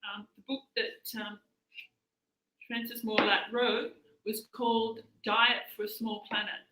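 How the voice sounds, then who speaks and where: A woman's voice: on the high side, flat delivery, slow pace, background noise. An Australian woman in her late fifties, giving a live cooking demonstration in a chatty, hesitant way with many fillers. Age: 50s. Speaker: cooking instructor. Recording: echoey room.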